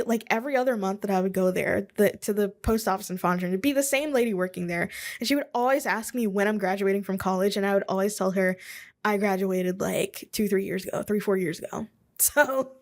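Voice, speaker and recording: A woman in her twenties hosting a casual solo podcast from her home office, rambling and self-deprecating with chuckles and asides. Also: mid-range voice. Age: 20s. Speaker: podcast host.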